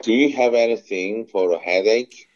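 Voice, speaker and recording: Low-voiced Korean-accented man on muffled phone. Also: a little mellow. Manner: slow, careful repetition with small slips. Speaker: phone English practice partner. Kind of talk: repeating phrasebook sentences aloud. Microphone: phone call.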